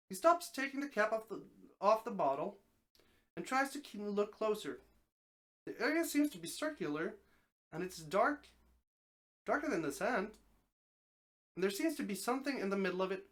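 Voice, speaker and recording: A mid-range voice reads a story aloud in a live, dramatic style. The narration is expressive, and the reader now and then trips over a phrase and takes it again. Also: medium pitch. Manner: expressive narration, occasional stumbles and repeated phrases. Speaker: streamer reading a story aloud.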